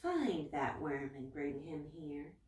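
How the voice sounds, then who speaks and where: A woman's voice: deep voice, big pitch swings, slow pace, darkish tone, voice pushed down, heavily acted. A woman voicing a menacing, commanding wizard lizard villain from a storybook. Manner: commanding, threatening. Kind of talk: storybook character voice. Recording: roomy echo, distant mic.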